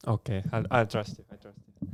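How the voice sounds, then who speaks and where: A man's voice: on the low side, steady tone, brisk, a little mellow, slight background noise. A young man as a podcast guest in casual chat, easygoing and a little hesitant, often laughing between words. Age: young adult.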